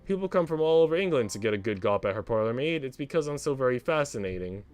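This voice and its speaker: Guy doing dry sardonic older maid lady, unbothered, lilting pitch, music in back. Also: lively intonation, quick pace, a little mellow, clean tone, natural voice. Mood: resigned, wry. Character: wry, worldly older parlour maid, an outsider woman.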